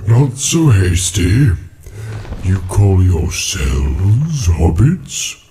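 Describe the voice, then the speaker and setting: An ancient, ponderous tree giant for a fantasy audiobook. The voice is low and very drawn-out, yet it swells and dips widely as it muses warily. A clear bed of ambient sound lies behind it. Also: male voice, sing-song, dark tone, slight background noise, exaggerated voice. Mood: measured, wary. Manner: slow, deliberate, drawn-out hums and musing repetitions. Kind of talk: audiobook character acting.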